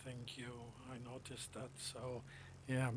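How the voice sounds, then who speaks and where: Slow-talking man over engine noise. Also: mid-range voice, completely flat delivery. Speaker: livestream host playing a flight simulator. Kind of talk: casual livestream chat with other players.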